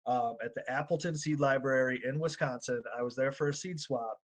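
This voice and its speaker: A man hosting an online panel, upbeat and quick when he gets going. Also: medium pitch, steady tone. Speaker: panel host.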